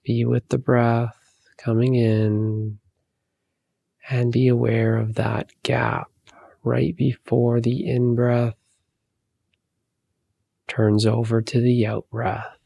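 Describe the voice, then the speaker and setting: A man leading a guided meditation, giving calm, soothing, unhurried instructions with long pauses. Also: mid-range voice, creaky, dry recording.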